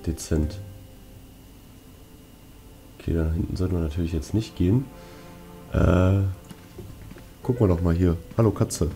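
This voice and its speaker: A man's voice: deep voice, unhurried, long pauses, dark tone. A man giving casual commentary as a let's play host. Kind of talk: casual video game commentary. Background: game music.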